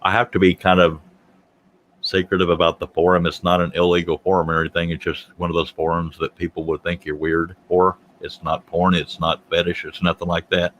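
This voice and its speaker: A man's voice: sits low, little pitch movement, fairly quick, a bit bright, slight background noise. A man, hosting a casual livestream chat, joking and self-deprecating as he rambles. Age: 50s. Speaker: livestream host.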